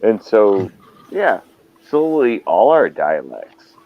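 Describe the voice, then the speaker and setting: A man's voice: on the low side, wildly swooping pitch, slow pace, highs cut off. A man hosting a casual live chat, rambling and hesitant as he talks. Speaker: host.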